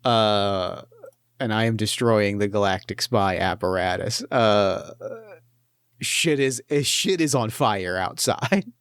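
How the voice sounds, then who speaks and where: A man's voice: on the low side, takes it slowly, a bit bright, smooth, no echo, studio quality. A man running a tabletop actual-play game as game master, talking with enthusiasm, swearing freely and often restarting his sentences. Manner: enthusiastic, profane, stumbles over restarts.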